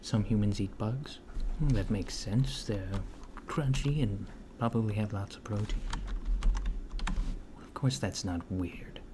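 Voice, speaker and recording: A man acts out a polite alien note-taker studying humans in an ASMR roleplay. The softspoken voice sits a little low and moves slowly, with hesitant, puzzled, clinical questioning. Keyboard typing and clear noise run behind it. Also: close to an everyday voice. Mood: curious, musing. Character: curious, mild-mannered alien interviewer taking notes on humans. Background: computer keyboard typing.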